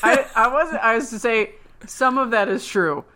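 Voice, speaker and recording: A woman co-hosting a podcast in casual chat, her voice husky from a cold, rambling and correcting herself as she goes. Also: a little high, moderate pace, background noise. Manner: rambling, self-correcting, laughing often. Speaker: podcast co-host. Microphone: remote call.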